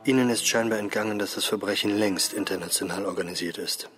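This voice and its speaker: A man's voice: fairly low pitch, fairly even intonation, fast talker, a bit bright, a little hiss or hum, natural voice. An actor playing a condescending male examiner, mocking and pompous, relishing every word in a German radio drama.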